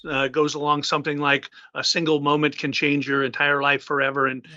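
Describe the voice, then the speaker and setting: A man in his fifties, a retired admiral, answering interview questions in a halting way with many filler sounds. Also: a little high, completely flat delivery, pushed and bright, no room sound, highs cut off. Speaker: guest author, retired admiral.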